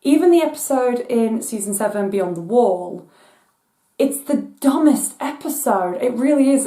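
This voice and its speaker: A woman hosting her own channel, hoarse from losing her voice, ranting in exasperation as her voice cracks high now and then. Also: mellow, roomy sound. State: hoarse from voice loss. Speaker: channel host. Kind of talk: casual rant vlog.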